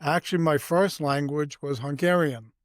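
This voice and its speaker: Older Brooklyn-accented man, halting storyteller. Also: on the high side, no room sound. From Brooklyn, New York. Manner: halting, unhurried storytelling with many fillers. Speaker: guest, host's father. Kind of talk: casual conversation.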